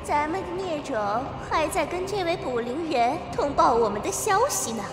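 Actress as a high-voiced gloating demon villainess. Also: female voice, animated. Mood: mocking accusation. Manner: mocking, taunting, drawn-out. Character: gloating, scheming female blood-demon villain. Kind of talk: Chinese costume radio drama acting. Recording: echoey hall reverb, loud music behind.

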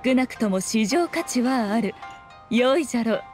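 An actress playing a sly, self-assured fox shrine maiden in a Japanese video game, speaking in a lofty, archaic, composed manner. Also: female voice, a little high, animated, soft background music, natural voice. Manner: archaic, lofty, unhurried speech with teasing composure.

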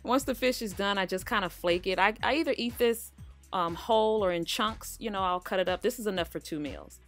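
A woman hosting her cooking channel in a relaxed, chatty voice-over, talking straight to her viewers. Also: medium pitch, a little fast. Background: faint background music.

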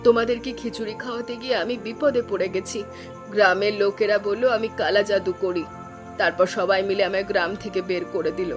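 A woman's voice: mid-range voice, steady tone, fairly quick, natural voice. A woman playing a kind, long-suffering village aunt in a moral-tale cartoon, with a plaintive, dignified delivery. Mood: sorrowful. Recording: prominent music behind the voice.

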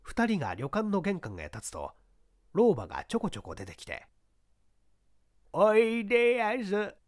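An audiobook narrator reading a scripted story in a theatrical, expressive storytelling manner. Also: medium pitch, moderate pace, no echo, clean studio sound.

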